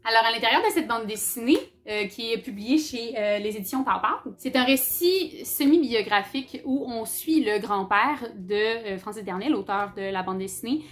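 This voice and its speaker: A woman's voice: on the high side, normal speed, hardly any pauses. A young woman with a Quebec French accent, from Abitibi, talking candidly and casually about books in her vlog. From Abitibi-Témiscamingue, Quebec. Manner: chatty and candid, with fillers, English words and swearing. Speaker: book YouTuber. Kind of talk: casual book-review vlog.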